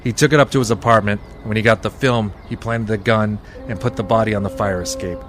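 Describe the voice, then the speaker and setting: An actor playing a wry, hard-boiled private detective in 1940s radio noir style, deadpan and terse. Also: male voice, fairly low pitch, fast, over music, lightly acted.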